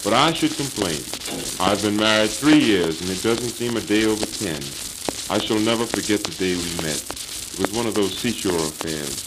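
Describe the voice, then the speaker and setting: A hapless, henpecked husband played by a man lectures with mock-earnest theatrical delivery in an old-time comic monologue. The voice is fairly low, under the clear crackle and hiss of a 1930s 78 rpm record. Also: animated, moderate pace, natural voice. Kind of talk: early 20th century comic monologue.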